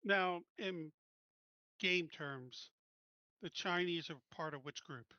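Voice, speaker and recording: A man's voice: a little high, slow, pushed and bright, dry recording, dull audio. A man playing a tabletop roleplaying game, casually putting questions to the game master.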